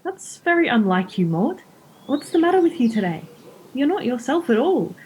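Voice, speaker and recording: A woman's voice: sits high, sing-song, normal speed, darkish tone, slight background noise. An actress in a radio play playing a teasing, blunt young woman, a devoted girlfriend and sister, in a naturalistic style. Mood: puzzled, teasing.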